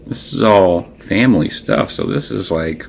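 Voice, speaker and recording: A man with a very low voice talks slowly through a personal, unscripted reflection. He is a native Creole French speaker, and he often halts to search for words or trails off. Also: sing-song, vocal fry, slight background noise. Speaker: personal documentary narrator.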